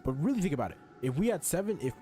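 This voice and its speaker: A man's voice: a little high, very animated, racing, warm tone, soft background music. A man streaming a game with casual, opinionated commentary, blunt and emphatic, repeating his points and swearing freely.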